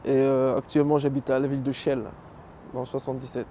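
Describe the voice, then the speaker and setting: A middle-aged man with a mellow, dark-toned voice, speaking at a mid pitch. He recounts his dance career in a relaxed way, pausing on fillers and listing names. Faint city traffic hums behind him outdoors. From Belgium, Congolese family. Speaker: professional dancer and choreographer. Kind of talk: casual interview, personal history.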